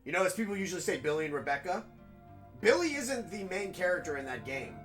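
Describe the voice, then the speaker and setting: A man with a raspy, nasal New York accent, chatting casually as a game streamer while he plays. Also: sits high, big pitch swings, quick pace, over music. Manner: rambling, chatty, full of fillers. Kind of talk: casual gameplay commentary.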